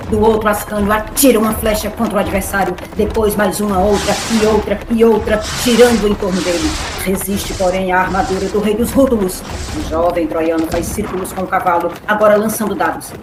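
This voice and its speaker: A woman's voice: medium pitch, steady tone, brisk, hardly any pauses, roomy sound, background noise. A woman with a Brazilian accent giving dramatic scripted narration of an epic tale. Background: music.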